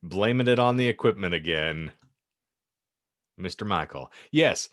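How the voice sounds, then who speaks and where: A man's voice: low voice, lively intonation, unhurried. A man hosting a podcast in joking banter, with a wordy, mock-formal manner and teasing sarcasm. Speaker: podcast host.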